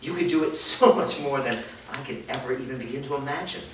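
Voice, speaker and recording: A man's voice: medium pitch, fast talker, slight background noise, natural voice. A man reading the part of a military commander, commanding and urgent, in a staged script reading. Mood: encouraging. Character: gruff military commander rallying soldiers. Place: large studio room. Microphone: far camera mic. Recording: echoey room from far off.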